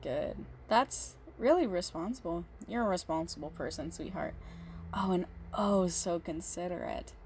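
A woman playing a big, muscular goth girlfriend, possessive and unhinged yet doting, in an intimate, halting ASMR roleplay monologue. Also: on the low side, expressive, darkish tone, slight background noise. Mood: teasing, affectionate. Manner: slow, halting, doting, menacing under sweetness.

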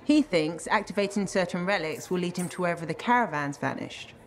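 An actress playing a level-headed, cautious analyst in a video game, measured and matter-of-fact. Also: female voice, fairly low pitch, expressive, a little hiss or hum, close to an everyday voice. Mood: calm, cautious.

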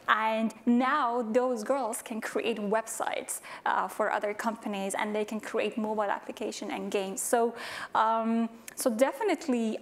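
A woman in her thirties from Afghanistan, answering on a conference panel in earnest, with many fillers, on a lapel mic. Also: on the high side. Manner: earnest, many fillers, non-native phrasing. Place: large conference stage.

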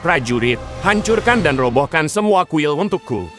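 A man's voice: a little high, big pitch swings, normal speed, over music. A man voicing an arrogant, greedy king who boasts and barks commands in a dubbed cartoon. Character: arrogant greedy middle-aged male king.

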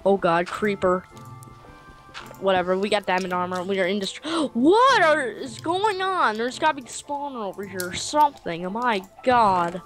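A woman's voice: medium pitch, moderate pace, faint music behind. A young woman chatting casually while gaming, rambling and joking in a crude way. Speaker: gamer in a multiplayer session.

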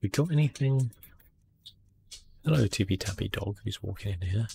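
Hushed, low-voiced man with creaky murmur. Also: expressive, normal speed, slight background noise. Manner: hushed, murmuring, often dropping into whispers. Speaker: gaming video host. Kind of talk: ASMR gameplay commentary, soft-spoken and relaxed.

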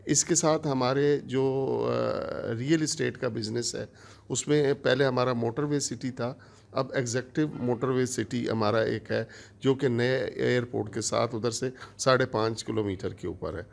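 Middle-aged man, gravelly voice, plain mid pitch, lists stuff one by one into a handheld mic, conference room. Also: measured pace. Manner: steady, listing items one by one. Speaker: company operations manager. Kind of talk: formal introductory speech.

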